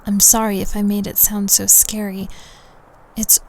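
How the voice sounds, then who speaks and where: Creaky-voiced, coaxing young society recruiter played by a woman. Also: mid-range voice, flat delivery, darkish tone, slight background noise, lightly acted, poor audio. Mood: playful, inviting. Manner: coaxing, deliberate, confiding, with breathy near-whispered phrases. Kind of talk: intimate girlfriend roleplay audio drama.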